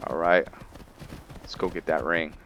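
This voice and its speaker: Slow, deep-voiced man thinking aloud. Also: fairly even intonation, a bit bright, slight background noise. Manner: hesitant, musing, thinking aloud. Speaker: gaming video host, role-playing a character. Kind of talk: unscripted gameplay commentary.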